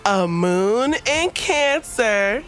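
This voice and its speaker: A woman plays an excitable, sassy Earth woman in a space audio drama. The voice is high and swoops brightly, gushing and exclamatory in manner. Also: very slow, faint music behind, full character voice. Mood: flustered.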